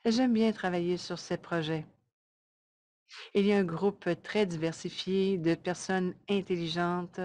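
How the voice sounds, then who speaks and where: A woman interpreting a webinar presentation live, in measured, halting short phrases. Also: on the low side, fairly even intonation, darkish tone.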